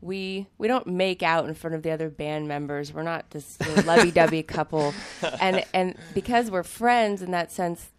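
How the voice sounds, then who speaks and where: A woman's voice: lowish voice, moderate pace, few pauses, a crowd in the background. A woman singer with a cold, chatting casually and laughing often as a guest in a radio studio. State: nursing a cold. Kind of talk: casual radio interview chat.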